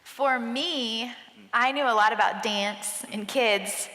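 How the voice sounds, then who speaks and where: Bright, higher-voiced woman in echoey hall. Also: slow pace. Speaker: entrepreneur panelist, dance teacher. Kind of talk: casual live panel chat. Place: large event hall on stage. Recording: echoey hall with long reverb.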